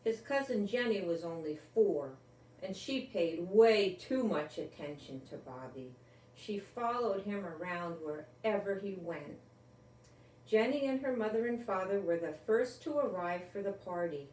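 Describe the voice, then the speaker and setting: Older female storyteller for children's book read-aloud; slow, deliberate, expressive emphasis; echoey, distant sound. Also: medium pitch. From Rhode Island, United States. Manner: deliberate, expressive, with repeated emphasis on key words. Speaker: grandmother storyteller. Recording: roomy, echoey, distant from the mic.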